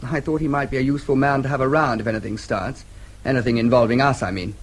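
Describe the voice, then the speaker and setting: An actor playing a British diplomat and protective brother in a radio drama, clipped, courteous and formal, with an English accent. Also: male voice, medium pitch, fairly even intonation, quick pace, lightly acted. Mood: matter-of-fact.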